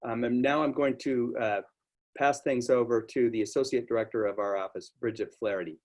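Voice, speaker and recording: A man's voice: medium pitch, fairly even intonation, fairly quick, a little mellow. A man giving an informal orientation presentation as an office director introducing his staff.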